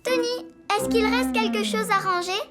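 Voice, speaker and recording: A playful, bossy little cartoon girl with a very high, childlike voice. She sounds confident, eager and teasing, with prominent music behind her. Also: normal speed. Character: bold, playful, bossy young girl animal friend.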